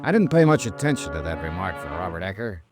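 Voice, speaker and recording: A man's voice: deep voice, very animated, fast. An American man acting a wry, world-weary insurance investigator in a 1950s radio drama, narrating and talking in a clipped, dry, hard-boiled way. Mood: wry, brooding. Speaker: star narrator of a detective radio serial. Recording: vintage radio broadcast with faint music.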